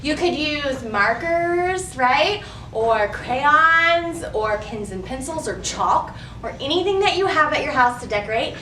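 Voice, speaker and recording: High, sing-song woman hosting children's storytime. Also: expressive, a little slow, bright, forceful tone. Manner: sing-song, exaggerated questioning for young children. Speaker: children's library storytime host. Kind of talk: children's storytime instruction and picture-book reading. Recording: roomy, distant mic, faint background noise.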